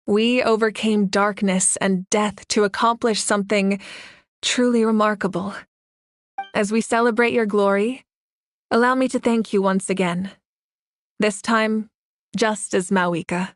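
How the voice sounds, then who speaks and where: An actress playing a noble woman leader in a video game, speaking in a measured, formal, assured way. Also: medium pitch, lightly acted. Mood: warm, solemn. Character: noble warrior woman leader, composed, dutiful, gracious. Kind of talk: video game character dialogue. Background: background music.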